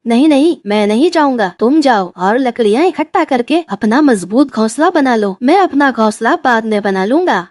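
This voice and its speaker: A woman's voice: on the high side, lively intonation, moderate pace, hardly any pauses, darkish tone, no echo, clean studio sound, lightly acted. A woman voicing a lazy, scheming male crow in a cartoon fable, with a taunting, bossy manner. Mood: lazy, dismissive. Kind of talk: animated fable dialogue.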